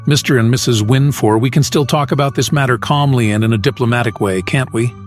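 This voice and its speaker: A man playing a diplomatic, heavy-hearted middle-aged gentleman in an audio drama. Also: medium pitch, fairly quick, faint music behind, close to an everyday voice. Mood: measured pleading. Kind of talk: Tagalog romance radio drama acting.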